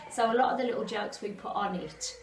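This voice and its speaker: A woman's voice: medium pitch, fast, background noise. A middle-aged woman in casual chat, talking in a chatty, repetitive way as a pantomime writer. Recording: echoey room, distant mic, background chatter.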